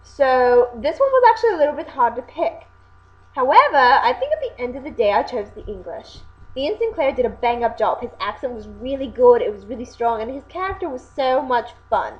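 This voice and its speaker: A young woman co-hosting a casual video, chatting excitably and often correcting herself. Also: high voice, brisk. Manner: animated, self-correcting, excitable. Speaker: video co-host reviewing anime voice acting. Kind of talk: casual chat. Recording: dull lo-fi home recording.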